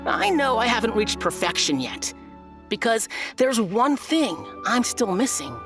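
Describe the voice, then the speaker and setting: A woman's voice: a little high, very animated, a little slow, over music. A woman voicing a smug, boastful tournament contestant in a video game who is sure of her own perfection. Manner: boastful, self-satisfied.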